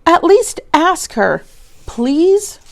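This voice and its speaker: A woman's voice: very high-pitched, very animated, drawn-out words, creaky, pitched above the performer's own voice, heavily acted. A woman voicing an eager young schoolboy who pleads earnestly with his teacher in a storybook reading. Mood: begging.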